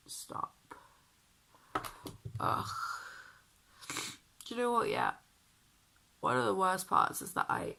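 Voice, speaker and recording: A young woman talks to camera in a deep, husky voice that is heavy with vocal fry and croaky from being ill. She speaks slowly and chattily, making fun of herself, with a fairly low pitch. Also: bright, forceful tone, slight background noise. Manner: chatty and self-deprecating, sighing. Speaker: beauty vlogger. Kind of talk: casual chat to camera.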